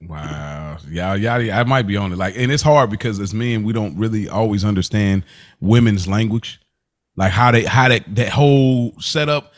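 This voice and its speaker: A man in his thirties or older with an American accent, hosting a casual TV recap chat and thinking out loud. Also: lowish voice, moderate pace. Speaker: podcast host.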